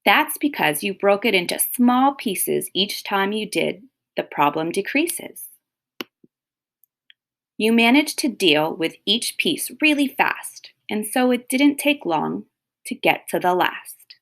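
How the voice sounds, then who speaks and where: A woman voicing a wise, patient old koala grandfather in a children's storybook, kindly but exacting, giving measured instructions. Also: medium pitch, sing-song, vocal fry, fairly bright tone, voice pushed up, heavily acted. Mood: explaining.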